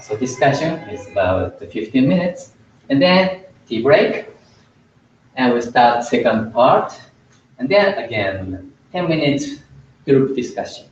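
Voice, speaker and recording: A man speaks slowly and carefully, pausing often on fillers as he explains a lesson to a small group. His voice sits at an average pitch. The recording is roomy and a little dull, with a faint hiss behind it. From Japan. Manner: hesitant, many filler pauses, plain explaining tone. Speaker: Buddhist lecturer. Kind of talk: informal lecture to a small group. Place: indoor meeting room.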